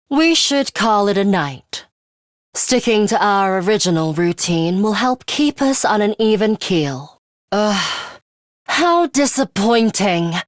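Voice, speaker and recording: Video game role: composed, coolly ruthless young female student fighter, deliberate slow delivery, dry studio sound. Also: medium pitch, dry recording. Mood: cool, reserved. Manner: measured, serious, deliberate. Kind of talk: English video game character voice lines.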